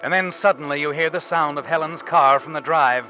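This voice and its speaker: An actor playing an ominous, taunting unseen narrator in 1940s suspense radio style. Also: male voice, a little high, fairly quick, pushed and bright. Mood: knowing, suspenseful. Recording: muffled old radio with music underneath.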